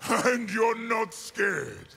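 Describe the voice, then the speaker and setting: A man voicing an arrogant, menacing otherworldly warrior general with a gravelly voice, taunting in a video game. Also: high-pitched, big pitch swings, very slow, very noisy, full character voice. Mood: menacing, boastful. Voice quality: gravelly, creaky.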